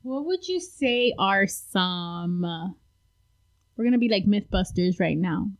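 A woman hosting a casual interview, playful and quick to laugh, saying "like" often. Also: medium pitch, animated.

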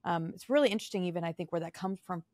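A woman's voice: lowish voice, very fast, darkish tone. A woman hosting a podcast, reading an upbeat introduction and then chatting casually with her guest. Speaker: podcast host.

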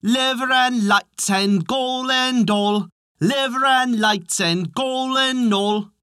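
Simple little storybook boy, acted by an adult narrator, high-pitched, loud singsong chanting, bawling, dry close sound. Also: very animated, slow, dry recording, full character voice. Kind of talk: storybook folk tale character.

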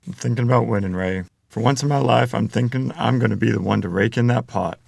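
A middle-aged Midwestern father acted out by a man in a period drama table read. The voice is thick with creak at a medium pitch. He is gruff and folksy, always on the defensive. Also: fast talker, darkish tone, no echo, studio quality. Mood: worried. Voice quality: gravelly, creaky. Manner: folksy, plainspoken rural speech. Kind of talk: table-read screenplay acting.

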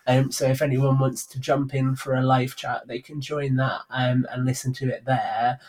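A man's voice: medium pitch, level tone, moderate pace, darkish tone. A man talking casually as a guest on a podcast about his creative work. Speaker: podcast guest, audio drama writer and producer.

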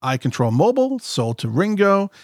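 A man's voice: sits high, sing-song, normal speed, a little mellow, no room sound, studio quality. A man in his fifties co-hosting a podcast, jokey and chatty as he reads out a long guest introduction. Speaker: co-host. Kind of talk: podcast interview with an ad read.

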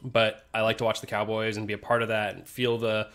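Brief: young male co-host, stuffy nose, relaxed and joking, swears casually. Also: fairly low pitch, fairly quick. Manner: laid-back, swears, laughs often. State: congested from a sinus infection. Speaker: podcast co-host. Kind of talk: casual chat over beers.

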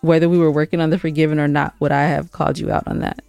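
A woman's voice: deep voice, level tone, rapid-fire, creaky, a little mellow, dry recording, soft background music. A woman co-hosting a podcast in casual conversation, speaking in a direct, insistent way. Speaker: podcast co-host. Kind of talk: casual banter and chat with co-host.

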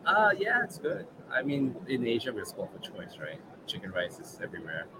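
A man from Malaysia with a Malaysian English accent, a guest in a casual interview, relaxed and thinking aloud with many fillers. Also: medium pitch, brisk, heavy background noise. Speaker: guest, regional manager.

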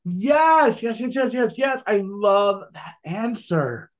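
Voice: medium pitch, expressive, slow. A podcast host interviewing a guest with enthusiastic, effusive praise. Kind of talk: interview hosting.

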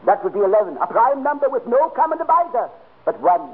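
An actor playing a pompous, formal professor of mystic fortunes in 1940s radio comedy, with grandiose, wordy speech. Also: male voice, very high voice, sing-song, fast talker. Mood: solemn, pompous. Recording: tinny, band-limited 1940s radio recording.

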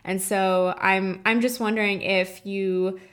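A woman's voice: medium pitch, flat delivery, slow, fairly bright tone. A woman hosting a podcast, asking thoughtful, winding questions with a few restarts. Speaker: podcast host. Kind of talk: interview questions.

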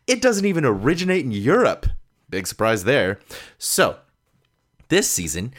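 A man's voice: mid-range voice, no echo, studio quality. A man guest hosting a podcast, giving a conversational scripted introduction.

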